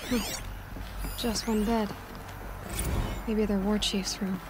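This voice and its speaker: A woman playing a curious, thoughtful young huntress in a video game, quietly musing to herself. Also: mid-range voice, flat delivery, takes it slowly, close to an everyday voice. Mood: curious, pensive. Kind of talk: musing game-character monologue.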